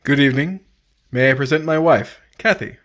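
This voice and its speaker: A man's voice: medium pitch, normal speed, a bit bright, natural voice. A man playing the courteous star host of a 1950s radio drama series, presenting in a scripted style. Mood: courteous, composed.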